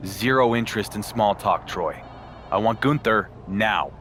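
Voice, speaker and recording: A man's voice: on the low side, unhurried, fairly bright tone, slight background noise. A man playing a confident, impatient champion pro wrestler in a video game cutscene, blunt and dryly sarcastic. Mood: impatient, irritated.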